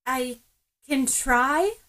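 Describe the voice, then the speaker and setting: A woman's voice: sits high, very slow, a bit bright, voice pushed up, heavily acted. A woman voicing a flustered, frank teenage girl in an audiobook, blurting and earnest. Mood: hesitant.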